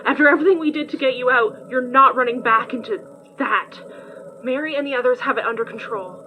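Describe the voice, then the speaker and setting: A woman acts a protective friend in a horror audio drama, urgent and high-voiced. The sound is a little muffled, with faint noise behind her. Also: brisk, close to an everyday voice.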